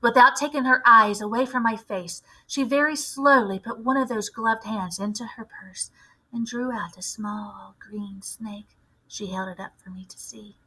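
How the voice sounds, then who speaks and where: A woman reading a storybook aloud like a teacher, with expressive, deliberate phrasing. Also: on the high side, breathy edge, rough recording.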